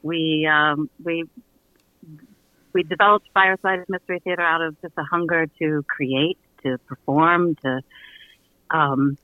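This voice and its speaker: A woman's voice: deep voice, steady tone, measured pace. A woman chatting casually as a guest in a radio interview. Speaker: guest, actor and audio theater co-creator. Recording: echoey, tinny phone-line connection.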